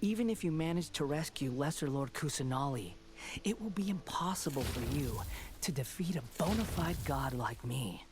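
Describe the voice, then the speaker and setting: A man's voice: on the high side, animated, normal speed, lightly acted. Man voicing a haughty, mocking young self-made god. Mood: grandiose, contemptuous. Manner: haughty, taunting, theatrical delivery. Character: arrogant young male would-be god, mocking and grandiose. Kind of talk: video game dialogue acting.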